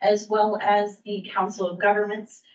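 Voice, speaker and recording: A woman who works for a county aging services bureau, giving a staff update at a public meeting in a measured way, with frequent ums and restarts. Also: medium pitch, flat delivery, slight background noise. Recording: distant roomy mic, dull sound.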